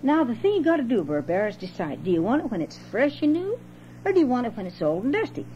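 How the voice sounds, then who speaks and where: A woman's voice: on the high side, big pitch swings, quick pace, pitched above the performer's own voice. A woman voicing a cheerful, sly male trickster rabbit in a storybook, with folksy, persuasive dialect, on a home cassette recording. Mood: excited, persuading. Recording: dull, noisy home cassette with hum.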